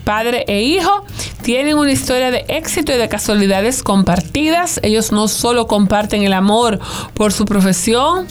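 A woman's voice: on the high side, expressive, normal speed, slight background noise. A woman presenting film news in a chatty, conversational way, adding jokey asides. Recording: music bed underneath.

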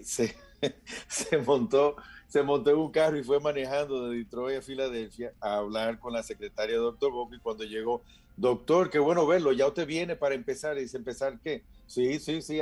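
A man's voice: mid-range voice, darkish tone. A Dominican man in his fifties with a Dominican Spanish accent, hosting a casual chat and telling a family story, acting out the voices of the people in it. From Dominican Republic. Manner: storytelling, acts out quoted voices.

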